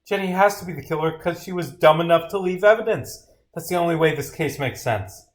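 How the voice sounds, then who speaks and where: A man voicing a scornful, combative courtroom adversary from a mystery visual novel, forceful and accusatory. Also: on the high side, fairly quick, pitched above the performer's own voice, close to an everyday voice. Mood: scornful, insistent. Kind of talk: dramatic read-aloud of visual novel dialogue.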